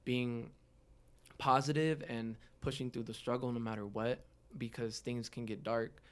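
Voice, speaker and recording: Male podcast guest, twenties. Heartfelt, open, conversational delivery at an average pitch. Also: fairly even intonation, measured pace. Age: 20s. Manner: earnest, heartfelt. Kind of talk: candid conversational interview.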